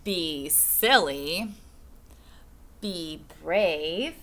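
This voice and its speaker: Slow sing-song teacher reading to kids. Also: female voice, on the low side, lively intonation, a bit bright, a little hiss or hum. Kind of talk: children's picture book read-aloud. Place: classroom. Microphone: webcam at desk.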